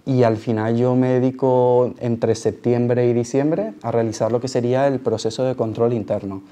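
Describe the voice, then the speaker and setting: A man's voice: medium pitch, fairly even intonation, moderate pace, dark tone. A man in his twenties with an accent from Spain, explaining his work to students as a junior auditor on a panel. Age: 20s. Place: large auditorium, panel table.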